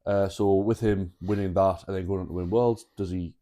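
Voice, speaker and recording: A man co-hosting a podcast, talking in a relaxed, casual way with many repeated words. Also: low-pitched, normal speed. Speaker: podcast co-host. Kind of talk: casual chat.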